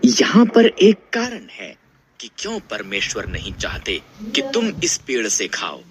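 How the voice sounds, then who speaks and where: A man's voice: mid-range voice, huge pitch swings, measured pace. A man voicing a sly, persuasive serpent, the devil, in a dramatic storybook reading. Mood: tempting. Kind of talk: dramatic Bible storybook acting.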